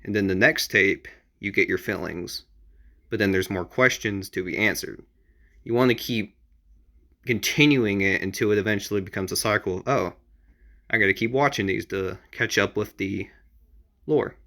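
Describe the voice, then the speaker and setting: A man giving casual advice as an amateur video creator, explaining in an informal, halting and repetitive way. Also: lowish voice, gravelly, bright, forceful tone.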